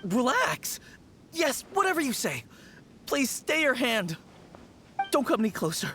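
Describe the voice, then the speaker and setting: A terrified young undertaker. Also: male voice, very high-pitched, big pitch swings, unhurried, sharp tone, a little hiss or hum, full character voice. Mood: pleading. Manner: panicky, pleading. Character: timid young male undertaker, jumpy, frightened. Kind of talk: video game voice acting. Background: game music.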